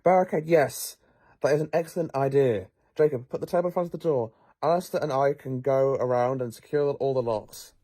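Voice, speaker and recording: A man playing an eccentric, clever time-travelling professor in an audio drama, with a brisk, musing, take-charge manner. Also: mid-range voice, mellow, natural voice. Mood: brisk, absent-minded.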